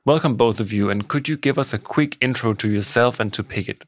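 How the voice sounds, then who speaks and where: A man hosting a podcast, reading measured introductions and posing questions. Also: medium pitch, no room sound. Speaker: podcast host.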